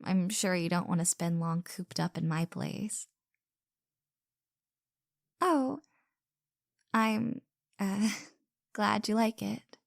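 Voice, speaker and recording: A woman's voice: medium pitch, steady tone, slow, vocal fry, pauses often, dry recording, clean studio sound. A woman acting a caring, flustered young woman with a crush in an intimate roleplay audio drama, speaking hesitantly and earnestly with stammers. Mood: sympathetic, worried.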